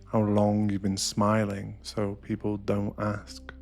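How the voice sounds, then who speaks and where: Male meditation guide, lowish pitch, hushed and deliberate dramatic delivery, intimate ASMR narration with faint music underneath. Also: completely flat delivery, vocal fry.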